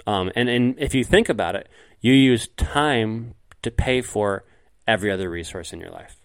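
A man's voice: on the low side, normal speed, smooth. A man co-hosting a podcast in casual chat, explaining points at length with frequent ums. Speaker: podcast co-host.

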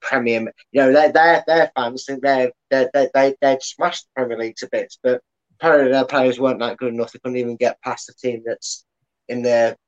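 A man co-hosting a football fan stream, talking casually and halting often, repeating words. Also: medium pitch, steady tone, muffled recording. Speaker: co-host.

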